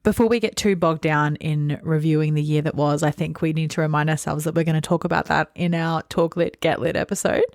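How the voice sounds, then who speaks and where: A woman's voice: deep voice, fairly even intonation, brisk, few pauses, darkish tone, no echo, clean studio sound. A young Australian woman co-hosting a podcast in casual, conversational chat. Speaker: podcast co-host.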